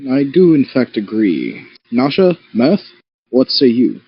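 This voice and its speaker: A man's voice: mid-range voice, huge pitch swings, measured pace, slightly rough, no room sound. A man playing a tabletop game, moving between casual chat and talking in character. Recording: dry, dull lo-fi audio.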